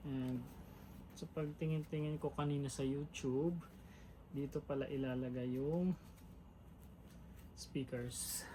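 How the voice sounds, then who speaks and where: Flat-voiced man narrating a hands-on job. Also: medium pitch, slow, pauses often, rough recording. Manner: chatty, narrates while working with his hands; relaxed, mixes English into his talk. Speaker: vlogger. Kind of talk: casual how-to vlog. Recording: clear background noise.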